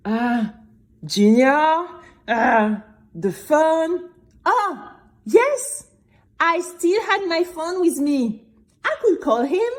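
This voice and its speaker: A woman playing a brave young girl who tells her own story in an expressive, exclamatory way for a children's audio drama. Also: sits high, pitched above the performer's own voice, full character voice. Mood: urgent realisation. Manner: expressive, exclamatory, with drawn-out emphatic words.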